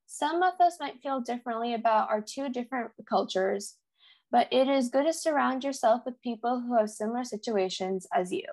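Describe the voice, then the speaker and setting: A teenage American young woman co-hosts a youth podcast from a script. Her voice sits fairly high for a woman and has a noticeable creak at the edges. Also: lively intonation, normal speed, darkish tone. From United States.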